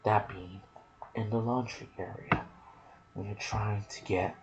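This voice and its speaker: Slow, low-voiced man doing ASMR game commentary. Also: fairly even intonation, muffled recording. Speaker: video game lore narrator. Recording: clear background noise.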